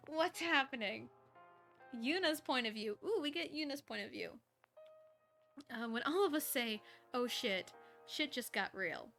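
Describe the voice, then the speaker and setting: A woman streamer reading a story aloud, expressive and playful, with chatty asides. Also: a little high, unhurried, gravelly, soft background music.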